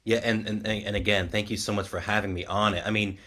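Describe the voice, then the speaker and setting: A man's voice: on the low side, level tone, brisk, a bit bright. A man speaking politely and gratefully in a casual chat as a guest musician.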